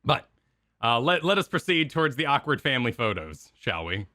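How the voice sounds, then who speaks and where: A man co-hosting a gaming reaction stream, giving casual, animated, bantering commentary. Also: mid-range voice, brisk, no echo, studio quality.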